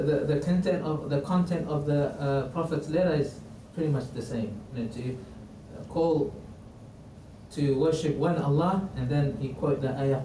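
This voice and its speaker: Man, non-native English speaker, mosque class, halting with restarts, Arabic quotes, faint background noise. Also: medium pitch, dark tone. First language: not English. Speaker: imam teaching a class. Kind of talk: religious history lesson. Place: mosque hall. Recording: roomy, distant mic, faint noise.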